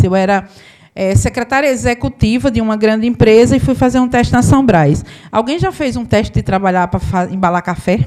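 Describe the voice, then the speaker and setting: A woman from gives a storytelling talk to a live audience. Her voice sits somewhat high. She keeps a chatty, conversational tone and often checks in with the crowd. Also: darkish tone. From Paraíba, Brazil. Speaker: accountant and business owner giving a talk.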